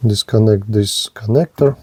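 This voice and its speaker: A man presents a hands-on product demonstration in a soft-edged, mellow voice. His delivery is slow and halting, broken into short fragments as he goes step by step. The sound is dry and close, with very little room to it. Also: on the low side, husky, dry recording. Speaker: gadget reviewer presenting a radio.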